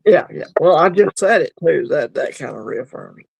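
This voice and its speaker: Man hosting a chatty online hangout, gravelly, kinda high, rambles and laughs a lot. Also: animated, moderate pace. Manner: rambling, easygoing, laughs easily. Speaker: livestream host. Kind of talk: casual chat.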